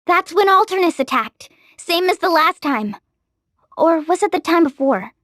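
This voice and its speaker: An actress playing a small, girlish companion in a video game, expressive, puzzled and anxious. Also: extremely high pitch, moderate pace, no echo, clean studio sound.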